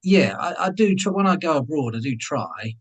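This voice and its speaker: A man's voice: fairly high pitch, very animated, moderate pace, breathy edge. A man chatting casually as a guest, modest and self-deprecating about himself. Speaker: returning guest on the show. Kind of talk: casual chat.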